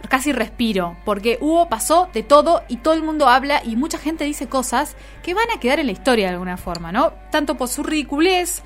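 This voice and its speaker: A woman's voice: high voice, expressive, fairly quick, few pauses, faint music behind. A woman with an Argentine Spanish accent chatting casually as the host of a radio show.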